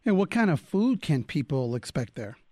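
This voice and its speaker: A man hosting a radio interview, asking guests brisk questions and moving the show along. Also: on the high side, big pitch swings, mellow, no echo. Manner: brisk, steers the conversation. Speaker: radio host.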